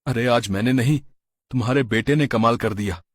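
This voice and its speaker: A man's voice: medium pitch, normal speed, no echo, studio quality, close to an everyday voice. A man voicing a kind-hearted, humble farmer in a cartoon story, earnest and dramatic. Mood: excited.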